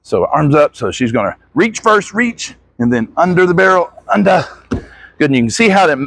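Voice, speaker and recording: A man's voice: fairly high pitch, big pitch swings, normal speed, creaky, fairly bright tone, dry recording. A man coaching gymnastics, giving hands-on instruction with short encouraging corrections as he demonstrates. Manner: encouraging, corrective asides to athlete.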